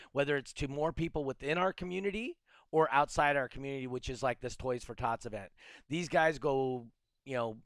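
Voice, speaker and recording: A man's voice: mid-range voice, a bit bright, clean tone, dry recording. A man hosting a casual podcast, speaking in an easygoing, affirming way. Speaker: podcast host.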